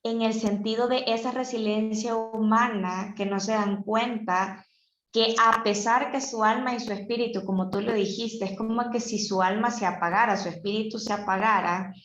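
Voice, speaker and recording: A woman's voice: on the high side, steady tone, normal speed, a bit bright. Woman, earnest reflective talk, roomy and dull video-call sound. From El Salvador, half Venezuelan. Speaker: participant in a group talk. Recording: echoey room, dull video-call audio.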